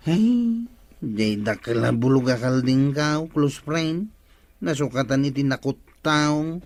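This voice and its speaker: An actor playing a stubborn, crafty village goat trader in an Ilocano radio comedy drama, with an Ilocano accent. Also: male voice, medium pitch, lively intonation, slow pace, no echo. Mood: scheming.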